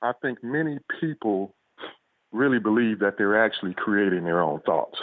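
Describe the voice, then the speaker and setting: Guy being interviewed over a tinny phone call, measured and explainy, casual reflective talk. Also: fairly low pitch, expressive, no echo. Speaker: guest, police officer and author. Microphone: phone or call line. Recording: tinny narrow phone-like line.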